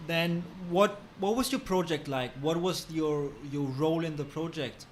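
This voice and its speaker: A man's voice: fairly high pitch, normal speed, a little hiss or hum. A man hosting a podcast, introducing and interviewing a guest. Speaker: podcast host.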